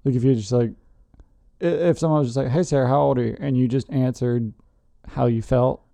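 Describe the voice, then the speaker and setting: A woman's voice: a bass voice, fairly quick, gravelly, warm tone, no room sound. A woman co-hosting a podcast, chatting casually and teasing her partner with jokes. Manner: joking, teasing. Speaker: podcast co-host. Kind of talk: casual chat.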